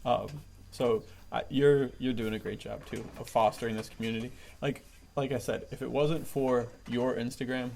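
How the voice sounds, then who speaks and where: Enthusiastic man with a gravelly edge, podcast host. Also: medium pitch, steady tone, moderate pace, darkish tone. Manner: enthusiastic, chummy, chuckles often. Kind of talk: casual podcast chat. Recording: faint background noise.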